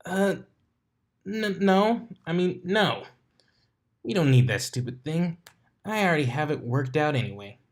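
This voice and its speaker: A man's voice: on the high side, expressive, unhurried, pauses often, pitched above the performer's own voice. A man voicing a brash, bossy young female pegasus pony in a flippant way for a story reading. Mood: flustered.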